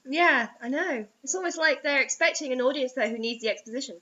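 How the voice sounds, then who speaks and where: A woman's voice: sits high, fast talker, pushed and bright, lo-fi sound. A woman co-host making sarcastic, exclamatory comments in casual joking banter.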